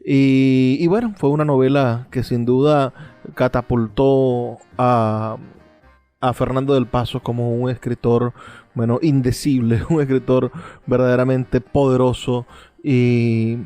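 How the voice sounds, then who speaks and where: A man presenting a literary programme, giving commentary in a halting way as he searches for words. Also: mid-range voice, fairly even intonation, warm tone, faint music behind. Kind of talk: spoken literary commentary and introduction.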